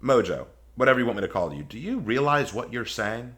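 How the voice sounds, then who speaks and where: Forceful, sarcastic man arguing his case. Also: medium pitch, very animated, a little fast. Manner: emphatic, sarcastic, incredulous rhetorical questioning. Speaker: video commentator hosting a response video. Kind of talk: opinionated commentary, casual and argumentative.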